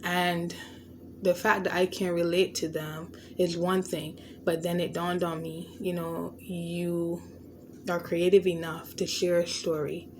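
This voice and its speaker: A woman's voice: on the low side, level tone, unhurried, noisy background. A woman with a stutter and a lisp, hosting a podcast and talking through a personal story in an earnest, reflective way, often restarting words. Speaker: podcast host. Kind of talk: unscripted personal monologue.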